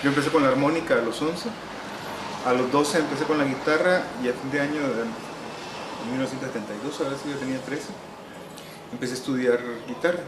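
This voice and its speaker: A Salvadoran man in his sixties tells stories of his past in an unhurried, wry tone, filling gaps with "eh". He sounds like he is sitting in a hard, echoey room, and a steady hum carries under his voice throughout. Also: medium pitch. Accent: Salvadoran Spanish. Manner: unhurried, wry, frequent "eh" pauses. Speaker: novelist interviewee. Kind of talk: relaxed reminiscing interview answers.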